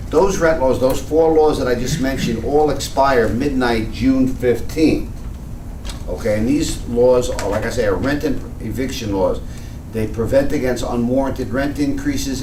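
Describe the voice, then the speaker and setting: A man who directs a tenant organization, giving a loud, explanatory workshop talk with frequent restarts. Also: fairly low pitch. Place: community meeting room. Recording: echoey room, distant mic, noisy.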